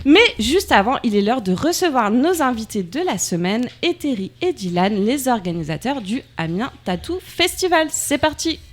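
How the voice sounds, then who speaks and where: A woman's voice: fairly high pitch, big pitch swings, moderate pace, few pauses. A woman hosting a casual radio interview, chatty and reassuring with her guests. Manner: reassuring, chatty, reacts readily.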